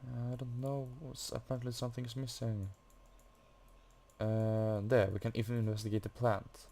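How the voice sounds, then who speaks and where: A man's voice: medium pitch, flat delivery, moderate pace, creaky, dark tone. A man giving a casual game walkthrough, hesitantly muttering to himself and reading text off the screen. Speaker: gaming video host. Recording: faint noise from a fan. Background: computer or room fan.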